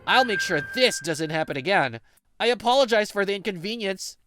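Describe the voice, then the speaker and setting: 남자 성우가 연기하는 억양 변화가 큰 느긋한 직장 선배. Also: male voice, high-pitched, animated, fairly quick, bright, forceful tone, no room sound, studio quality. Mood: reassuring. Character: easygoing, patient male office senior training a junior. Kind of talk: English manga dub, narration and dialogue.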